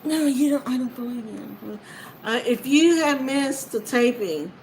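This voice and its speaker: A woman's voice: a little high, animated, normal speed, creaky, a little mellow, slight background noise, rough recording. A woman chatting casually to viewers in an informal, meandering way, often trailing off mid-thought. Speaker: reader of books for listeners. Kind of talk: casual rambling chat to viewers.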